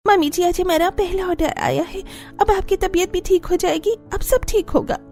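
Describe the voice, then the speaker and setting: A woman's voice: very high-pitched, normal speed, a little mellow. A woman voicing an earnest, respectful young daughter-in-law in a cartoon family story. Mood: grateful, hopeful.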